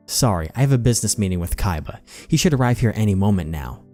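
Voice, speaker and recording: Man acting casual young guy, low voice. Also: expressive, fast, warm tone, faint music behind, natural voice. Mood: cool. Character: smooth, casual young man greeting ladies.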